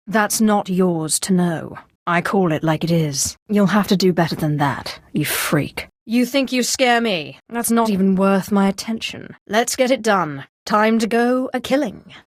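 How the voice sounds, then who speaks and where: Actress as an imperious, sardonic Sith sorceress, dry studio. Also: female voice, mid-range voice, no echo, close to an everyday voice. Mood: disdainful, then menacing, gleeful. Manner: haughty, deliberate, theatrical delivery. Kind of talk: scripted video game character lines.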